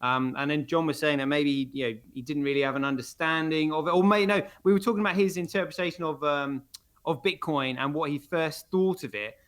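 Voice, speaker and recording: A man's voice: on the high side, fairly even intonation, a little fast, fairly bright tone. A man co-hosting a livestream, rambling with many fillers and restarts in casual chat. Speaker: livestream co-host.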